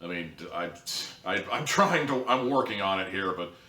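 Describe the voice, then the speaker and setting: Low-voiced man in an echoey room. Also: animated, normal speed, pushed and bright, distant mic. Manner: sarcastic, blunt, swearing. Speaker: online audio drama reviewer. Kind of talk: casual opinionated review commentary.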